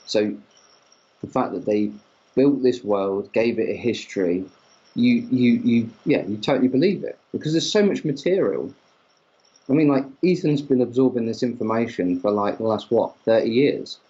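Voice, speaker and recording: Rambling enthusiastic man, husky lo-fi mic. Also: medium pitch, moderate pace, mellow. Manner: enthusiastic, rambling, stumbling restarts. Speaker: podcast co-host. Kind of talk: casual chat between fans. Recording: dull lo-fi mic.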